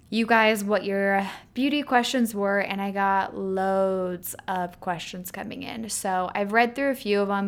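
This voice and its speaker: A woman's voice: mid-range voice, clear, resonant tone. A woman in her thirties hosting a solo podcast, chatting casually with lots of ums and likes, her voice a little nasal from a healing throat. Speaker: podcast host.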